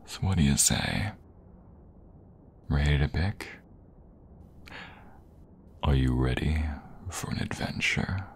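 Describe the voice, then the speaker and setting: A man's voice: extremely low pitch, expressive, a little hiss or hum, heavily acted. A man acting an adorkable, gushing nerdy jock boyfriend in an intimate audio roleplay, affectionate and teasing. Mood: soft, intimate. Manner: affectionate, teasing, breathy pauses and chuckles.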